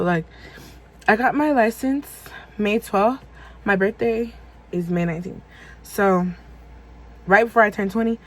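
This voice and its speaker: Young woman vlogging in her bedroom, kinda husky, rambles and corrects herself constantly. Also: medium pitch, low-quality recording. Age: 20s. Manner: rambling, lots of "like" fillers and self-corrections. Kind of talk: casual storytime vlog.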